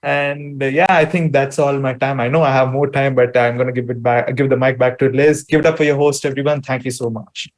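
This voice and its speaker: A man's voice: mid-range voice, little pitch movement, fairly quick, hardly any pauses. A man doing stand-up at an open mic, wrapping up his set easily. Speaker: stand-up comic.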